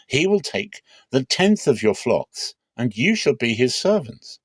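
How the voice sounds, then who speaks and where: A man's voice: mid-range voice, sing-song, unhurried, husky, no echo, clean studio sound, voice pushed up. A man reading scripture dramatically as an old prophet, solemn and emphatic, sternly warning his people.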